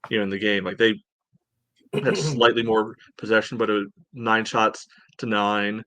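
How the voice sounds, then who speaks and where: A man co-hosting a soccer podcast, giving casual analysis in a measured, halting, conversational way. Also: fairly low pitch, steady tone, a bit bright, no echo. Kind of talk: casual sports analysis chat.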